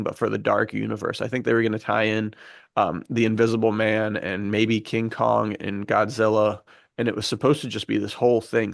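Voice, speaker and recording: A man's voice: on the low side, vocal fry, no room sound, studio quality. A man hosting a podcast, talking about films in a casual, enthusiastic, slangy way. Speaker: podcast host. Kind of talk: casual film discussion.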